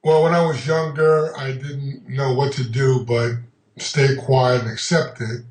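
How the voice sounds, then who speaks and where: Slow, roomy-sounding man from Honduras. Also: medium pitch, level tone, a little mellow. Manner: measured, reflective, pauses with ums. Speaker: interviewee. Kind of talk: documentary interview. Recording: roomy sound from a distant mic.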